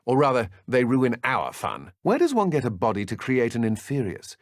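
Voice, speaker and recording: An actor voicing a callous, arrogant dark wizard henchman in a video game, with a British accent and a haughty, sardonic manner. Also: mid-range voice, wildly swooping pitch, moderate pace, no echo, clean studio sound. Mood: sardonic, musing. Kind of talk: video game villain dialogue.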